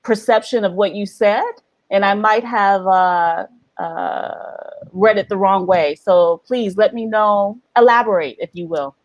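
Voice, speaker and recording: A woman's voice: medium pitch, unhurried, dry recording, lo-fi sound. A woman hosting a casual livestream vlog, chatting to viewers and laughing often. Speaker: vlog host running a livestream. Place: living in Ghana.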